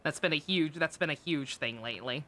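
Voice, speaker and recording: A woman's voice: low-pitched, a little fast, sharp tone. A woman live streaming a game with casual, candid commentary, rambling and stammering, with frequent swearing. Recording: game music behind the voice.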